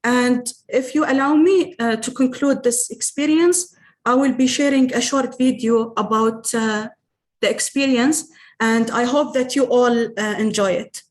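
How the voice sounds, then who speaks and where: Higher-voiced woman presenting, slightly halting. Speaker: program alumna presenting her experience. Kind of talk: informal presentation with slides.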